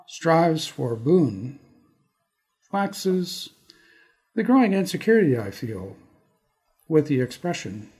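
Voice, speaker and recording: A Canadian man speaking as a guest poet on an arts podcast in a deliberate, halting manner. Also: fairly high pitch, a little mellow. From Toronto area, Canada. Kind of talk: casual self-introduction and slow poetry reading. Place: studio.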